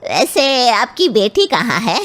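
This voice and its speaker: A woman's voice: on the high side, sing-song, slow, a bit bright, full character voice. A woman voicing a pushy, effusive middle-aged traditional auntie in a moral storybook tale. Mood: gushing, welcoming. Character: pushy middle-aged matchmaking aunt and traditional mother-in-law. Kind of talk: Hindi moral story character acting.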